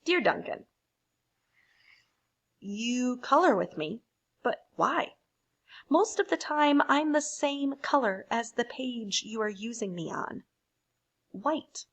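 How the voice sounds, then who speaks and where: A forlorn storybook crayon, played by a woman, pleads to be noticed. The voice is plaintive and fairly high, rising and falling widely. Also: expressive, slow, long pauses, a bit bright, heavily acted.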